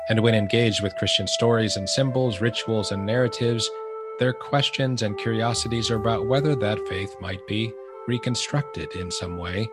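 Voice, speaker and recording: A man with a fairly low voice hosts a podcast, measured and earnest as he reads and a little halting when he talks off the cuff. Music plays clearly behind him. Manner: measured, earnest, hesitant with fillers in conversation. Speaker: podcast host. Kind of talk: scripted intro then interview.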